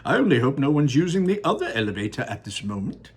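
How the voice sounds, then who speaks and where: A man voicing an eccentric male candy maker, gallant and theatrical, with a slightly husky voice. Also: medium pitch, sing-song, quick pace. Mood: breezy.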